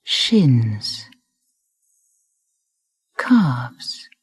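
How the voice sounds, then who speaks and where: A woman narrating a guided meditation in a soft, breathy voice, soothing and deliberate, with gentle phrasing and pauses. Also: rumbling low, very animated, no room sound, studio quality. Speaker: relaxation guide. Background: music.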